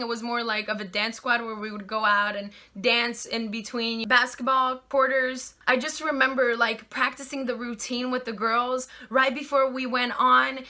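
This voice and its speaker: A woman's voice: a little high, bright, forceful tone. A woman in her twenties from Poland with a slight Polish accent, chatting casually as she answers viewer questions in her vlog. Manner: chatty, with many "like" and "you know" fillers.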